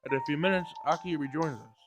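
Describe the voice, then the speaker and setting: Higher-voiced man reading a game aloud. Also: fast, poor audio. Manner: stumbles over words while reading. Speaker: gameplay streamer reading aloud. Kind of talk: reading visual novel narration aloud. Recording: game music behind. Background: game music.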